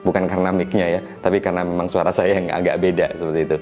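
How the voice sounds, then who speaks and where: Deep-voiced man, stuffy and nasal. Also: racing, music in the background. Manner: relaxed, chatty, frequent tag questions. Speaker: tech reviewer teaching mic setup. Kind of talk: casual explanatory tutorial.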